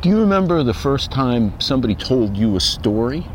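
Male interviewer, medium pitch, casual conversational delivery, recorded outside with city noise. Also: big pitch swings, brisk. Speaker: podcast host, interviewer. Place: outdoors, walking in a park. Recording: outdoors with city and vehicle noise.

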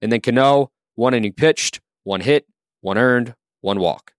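A man with a middle-pitched voice reads out innings, hits and walks in a steady, methodical way. The recording is dry and close, with no room sound. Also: a little slow, smooth, no echo. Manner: steady, listing numbers methodically. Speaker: co-host. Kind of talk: sports podcast, reading stat lines.

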